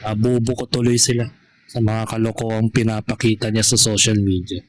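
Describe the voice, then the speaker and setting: A man vlogging casual gossip commentary to his followers in a halting, repetitive way, often adding tag questions. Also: fairly low pitch, little pitch movement.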